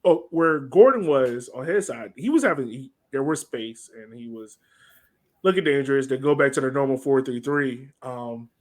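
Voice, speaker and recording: A man speaks casually as a sports podcast co-host. He works through a soccer match out loud in an informal, conversational way. His voice sits at an average male pitch. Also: lively intonation, normal speed. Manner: informal, thinking aloud.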